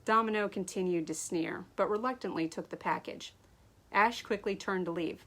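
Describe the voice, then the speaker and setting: A woman author reading her own story aloud in an animated way, now and then stumbling and rereading. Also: medium pitch, moderate pace. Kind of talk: expressive read-aloud storytelling.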